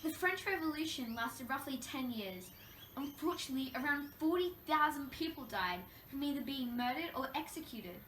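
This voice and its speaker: High-pitched woman playing a wronged young queen, echoey. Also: lively intonation, moderate pace, fairly bright tone. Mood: sombre. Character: Marie Antoinette, wronged young French queen recalling her troubles. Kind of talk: scripted question-and-answer role play. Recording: echoey room, distant mic, faint noise.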